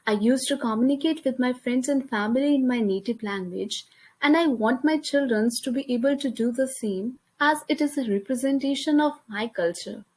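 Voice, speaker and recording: A young woman with an Indian accent, speaking English as her second language, presents a class talk over slides. Her voice sits a little high, and she reads carefully, restarting now and then on a word. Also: moderate pace, a little mellow. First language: Hindi. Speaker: student presenter. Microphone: computer mic, voice-over on slides.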